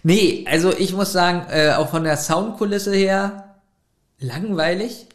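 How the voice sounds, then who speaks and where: Male podcast co-host, animated and argumentative, slightly high voice, echoey room. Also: a little slow. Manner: animated, argumentative, laughs often. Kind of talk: casual chat, audio drama review.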